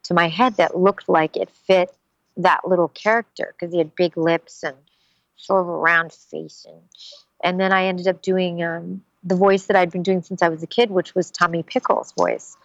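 Woman voice actress, middle-aged, animated chat, dry close sound, muffled lo-fi. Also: on the low side, moderate pace, vocal fry, no echo. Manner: animated, slips into character voices. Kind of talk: casual interview talk.

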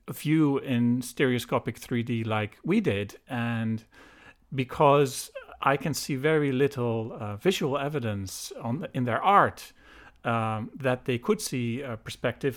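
A man's voice: mid-range voice, a bit bright. A middle-aged Dutch man speaking English as a guest in a casual chat, hesitant and full of ums and uhs. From Netherlands.

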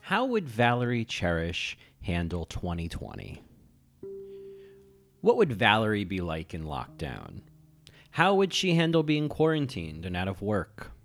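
A man's voice: lowish voice, wildly swooping pitch, measured pace, faint music behind. A man in his thirties hosting a solo podcast, chatting in a rambling way with lots of ums and restarts. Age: 30s. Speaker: podcast host. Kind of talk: casual solo podcast chat.

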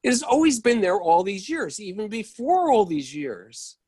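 A woman reading the role of a puzzled adult in a play reading, husky and insistent. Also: medium pitch, very animated, normal speed, dark tone. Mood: bewildered. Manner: insistent, incredulous, repeats herself. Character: puzzled adult person upset by change. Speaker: actor reading second character. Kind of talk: readers theatre play reading.

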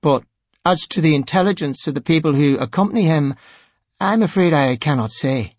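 A man voicing a calm, dryly insolent cleric in an audiobook, with a polite manner. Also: fairly high pitch, moderate pace, no echo, lightly acted. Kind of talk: audiobook character voice.

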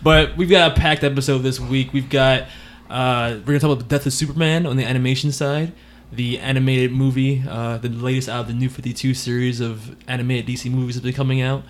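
A man's voice: medium pitch, level tone, fast talker, slight background noise. Laughing, playful male podcast co-host. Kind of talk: casual podcast chat. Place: at a friend's home, not usual studio.

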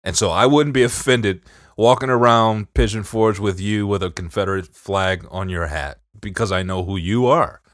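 A man's voice: fairly low pitch, normal speed, a bit bright, no echo, clean studio sound. A man from Detroit with a Detroit accent, co-hosting a casual podcast chat in a laid-back, profane way. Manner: laid-back, swears, repeats "bro". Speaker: podcast co-host.

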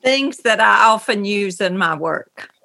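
A middle-aged woman from North Carolina with a Southern accent, speaking thoughtfully as an interview guest over a video call from her writing room, pausing and restarting often. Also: mid-range voice, animated, creaky, bright, forceful tone, a little hiss or hum. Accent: Southern American. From North Carolina, USA. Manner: slow, thoughtful, with many pauses and restarts. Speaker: novelist guest.

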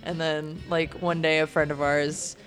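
A woman's voice: low voice, little pitch movement, unhurried, faint music behind. A woman in her late twenties, a band's frontwoman, chatting casually in an interview with lots of fillers and frequent laughter. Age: late 20s. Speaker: band frontwoman, guest.

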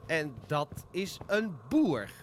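A man's voice: on the high side, sing-song, takes it slowly, a little hiss or hum. A man playing a polite, deferential royal servant in a comedic audio drama, formal and obsequious. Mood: explaining. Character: deferential, polite male royal lackey showing land.

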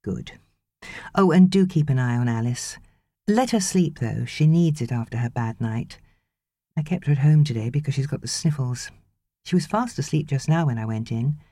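A British woman voicing a brisk, fussing young mother in an audiobook. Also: extremely low pitch, animated, warm tone, no echo, clean studio sound, heavily acted. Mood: careful, fussing. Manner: brisk, instructing.

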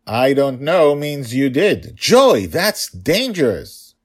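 Man playing a stern, worried father, husky and emphatic. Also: medium pitch, sing-song, pitched above the performer's own voice. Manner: slow, emphatic scolding.

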